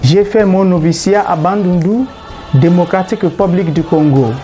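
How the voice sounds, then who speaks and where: A young seminarian from Ghana introduces himself in a formal, earnest way. His voice is mellow, and soft music plays underneath. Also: high voice, moderate pace. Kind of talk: scripted self-introduction.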